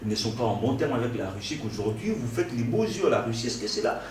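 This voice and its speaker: A man's voice: mid-range voice, very animated, fast talker, darkish tone. A male interviewer pressing his guest with challenging questions. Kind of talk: political interview. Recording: echoey room, distant mic, faint noise.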